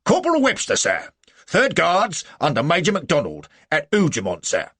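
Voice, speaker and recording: A man's voice: a little high, huge pitch swings, moderate pace, a bit bright, breathy edge, no room sound, voice pushed up, exaggerated voice. A man voicing a bluff, loyal British army sergeant with a working-class English accent, hearty and blunt but deferential to officers, in an audiobook story. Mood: firm, hearty.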